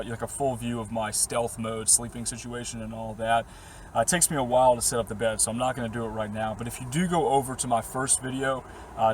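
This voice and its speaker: A young man from the Boston area, slightly hoarse and losing his voice, chatting casually and rambling through viewer questions with fillers and short laughs inside his camper van. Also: medium pitch, slight background noise. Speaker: vlogger answering viewer questions. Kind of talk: casual chat to camera.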